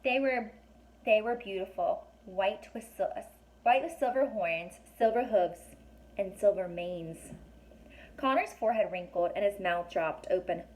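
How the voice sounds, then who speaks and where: A woman reading a children's story aloud, pausing to ask young listeners questions and explain things in a chatty way. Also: mid-range voice, a little mellow, rough recording. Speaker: teacher or reader reading a children's book aloud.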